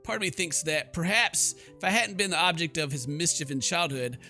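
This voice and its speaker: Man, game commentary, reads story aloud, jokey, bro-ish, sharp bright tone, higher pitch, quiet background music. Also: animated, brisk. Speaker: let's player.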